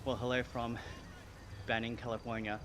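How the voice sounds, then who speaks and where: Aussie guy streaming from his indoor bike, chatty n a bit puffed, loud fan noise behind. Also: medium pitch, flat delivery, moderate pace. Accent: Australian. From Australia. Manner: chatty, slightly out of breath while pedaling. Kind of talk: casual live-stream chat while cycling. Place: home indoor cycling setup.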